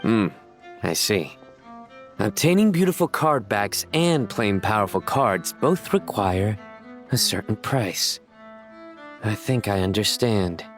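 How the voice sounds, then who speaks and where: A man acting an earnest, deadpan official who takes his hobby seriously, in a video game. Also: fairly low pitch, takes it slowly, soft background music. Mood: earnest, pondering. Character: earnest deadpan male official, avid card game fan.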